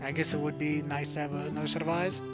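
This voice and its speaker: A man's voice: a little high, flat delivery, quick pace. A man hosting a gaming video, reading visual novel narration aloud with wry, casual asides. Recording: game music behind the voice. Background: game music.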